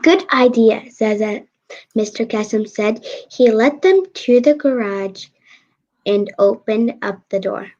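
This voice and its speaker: A young girl of eight reads a storybook aloud in a high voice. She trips over words and repeats phrases as she goes. The recording is dull and lo-fi. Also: animated, slow, darkish tone. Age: child, 8 years old. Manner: stumbles and repeats phrases while reading. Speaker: young guest co-reader. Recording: dull lo-fi audio.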